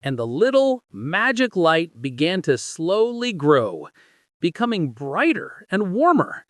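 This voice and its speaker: Slow, gentle storybook man. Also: high voice, huge pitch swings, dry recording, studio quality. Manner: gentle, soft and unhurried. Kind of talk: bedtime story narration.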